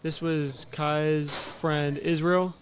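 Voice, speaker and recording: A man's voice: on the high side, flat delivery, very slow, slight background noise. A young man from California, an amateur fighter guest, talking easygoing and humble in a casual interview. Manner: easygoing, humble, trailing sentences with "yeah".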